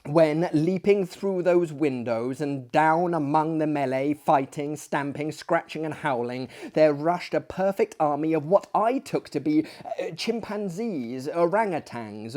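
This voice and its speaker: Hammy man reading theatrically, mellow voice. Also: fairly high pitch. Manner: hammy, pompous, dramatic emphasis. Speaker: storyteller, host of a story reading series. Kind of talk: theatrical dramatic reading of a classic story.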